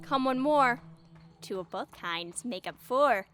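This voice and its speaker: A mischievous fairy sprite played by a woman, with a fairly high voice and a slow, teasing chant. The verse is acted as Shakespearean audio drama over loud music. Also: expressive. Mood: amused.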